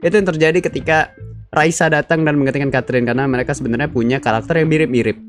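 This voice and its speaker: A man's voice: mid-range voice, racing, faint music behind. A young man hosting a casual fan-commentary video, chatty and slangy, full of fillers and tag questions. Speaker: YouTube channel host.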